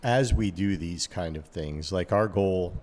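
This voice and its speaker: A man with a very deep, low voice co-hosts a casual podcast chat. He gropes for words, restarting sentences as he works through his thoughts. His voice trails off into a long, ringing reverb. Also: darkish tone. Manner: halting, many restarts, thinking aloud. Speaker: podcast co-host.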